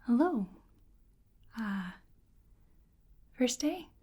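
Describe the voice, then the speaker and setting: A woman speaks in a gentle ASMR roleplay voice that often slips toward a whisper. Her tone is mellow and fairly high, with a very breathy edge. She plays a polite, bewildered researcher, murmuring hesitantly to an unseen listener. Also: very animated, very slow. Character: bewildered, polite female researcher examining a creature. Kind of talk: soft-spoken ASMR roleplay.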